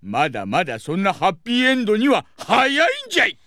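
A man's voice: sits high, wildly swooping pitch, fast, sharp tone, exaggerated voice. A man playing a blustering, comical old-fashioned father in an anime audio drama, talking in old-man phrasing.

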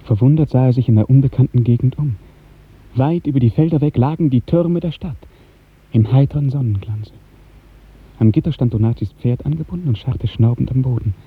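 A man narrating a literary 1950s radio drama in a measured, poetic storytelling manner. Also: mid-range voice, slightly rough. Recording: muffled narrow 1950s radio recording.

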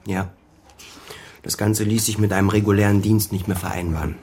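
An actor playing a weary, defensive son and former officer in a naturalistic radio crime drama, speaking in a bitter, guarded way. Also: male voice, on the low side, fairly even intonation, a little fast, darkish tone, slight background noise, close to an everyday voice. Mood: bitter, resigned. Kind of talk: naturalistic German radio play dialogue.

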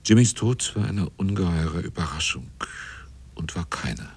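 An actor narrating a radio drama as a grave seaman, with a husky, often hushed delivery. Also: low-pitched, animated, a little slow, full character voice. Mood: sombre, suspenseful.

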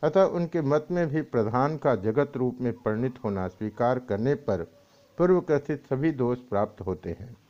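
A man reading scriptural commentary and verse aloud in a deliberate, measured way with pauses. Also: mid-range voice, lively intonation, darkish tone. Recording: dull lo-fi recording.

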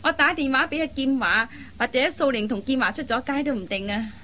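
A woman playing an anxious, caring elder sister in an expressive, earnest radio drama style. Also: high-pitched, fairly quick. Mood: concerned, puzzled. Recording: faint background noise.

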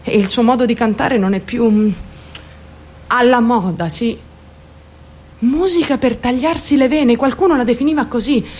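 A woman's voice: a little high, normal speed, long pauses, darkish tone, husky. A woman acting a theatrical radio monologue as a troubled young woman, defensive and candid, rambling and addressing her listeners directly. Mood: wistful, rambling. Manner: confiding, addresses listeners directly with rhetorical questions. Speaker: actor.

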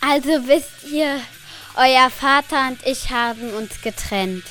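A woman's voice: high-pitched, a little slow, sharp tone, faint music behind. A female performer playing an evasive mother in an amateur school radio play. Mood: hesitant, evasive. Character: evasive mother breaking divorce news to her children.

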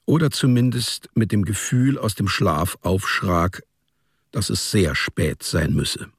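A man narrating a literary radio drama as a storyteller, measured and deliberate. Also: fairly low pitch, lively intonation, husky, no echo, studio quality.

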